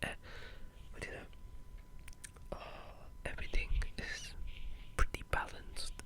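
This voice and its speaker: A person whispering a casual, halting ASMR ramble about video games, in a breathy, unvoiced voice. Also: bright, forceful tone. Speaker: video game ASMR creator.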